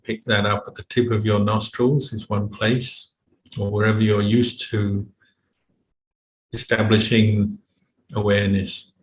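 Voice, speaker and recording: A man with a fairly low, flat-pitched voice leads a guided meditation. He speaks in a measured way with long gaps between phrases. The sound is echoey, as in a room, with faint noise behind him. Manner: measured, unhurried, with long pauses. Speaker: meditation teacher, Buddhist monk. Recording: roomy echo, faint background noise.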